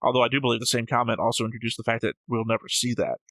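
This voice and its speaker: A man with a very bright, forward voice at a middling pitch, recorded close and dry. He co-hosts a reaction show, debating casually and pedantically, correcting fine points. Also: racing, no echo. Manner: pedantic, correcting his co-host. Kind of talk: casual argumentative discussion.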